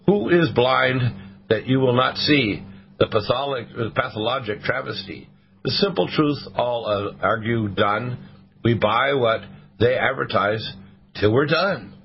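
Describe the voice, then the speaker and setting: A hoarse man hosting a talk radio show, reading a poem aloud and stopping often to restart his sentences. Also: medium pitch, a little slow. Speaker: host. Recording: dull lo-fi radio broadcast.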